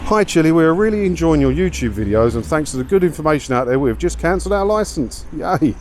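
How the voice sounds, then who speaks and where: A man's voice: a little high, lively intonation, fast, a little mellow. A man vlogging as he walks, talking casually to his viewers and repeating points for emphasis, with rhetorical questions tagged on. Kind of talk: casual chat to viewers, reading out and answering comments. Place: outdoors, walking around a seaside town. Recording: outdoor wind and traffic noise on mic. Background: wind, passing cars, street ambience.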